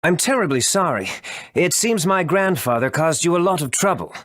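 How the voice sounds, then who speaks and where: A man's voice: a little high, lively intonation, moderate pace, a bit bright, dry recording, clean studio sound. A man playing an apologetic, earnest scientist in a video game, speaking formally as he explains things. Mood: earnest, remorseful. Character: apologetic, earnest male scientist, grandson of a villain. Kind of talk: English video game voice acting.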